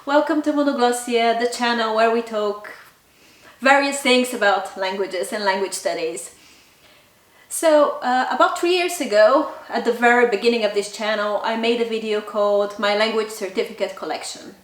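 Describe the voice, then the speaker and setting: A woman's voice: on the high side, moderate pace. Woman in a roomy, echoey space. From Bulgaria. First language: Bulgarian. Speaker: language channel host. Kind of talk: casual talk to camera. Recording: roomy echo, distant mic.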